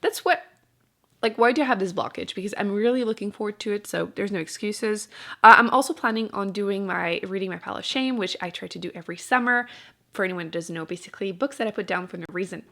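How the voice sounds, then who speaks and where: Woman booktuber just chatting, lots of vocal fry, rambly and kinda dry, keeps interrupting herself. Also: medium pitch, fast talker, fairly bright tone. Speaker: book vlogger and channel host. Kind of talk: casual chat to camera about books.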